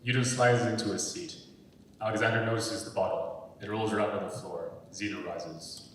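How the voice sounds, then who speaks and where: Low-voiced male narrator in echoey hall. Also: fairly even intonation, normal speed, a bit bright. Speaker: stage-direction narrator. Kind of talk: scripted narration at a dramatic reading. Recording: echoey hall, far from mic, faint noise.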